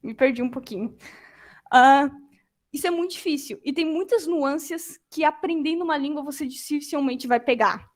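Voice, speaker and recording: A young adult woman giving an informal lecture, animated and acting out quoted reactions. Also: high-pitched, moderate pace.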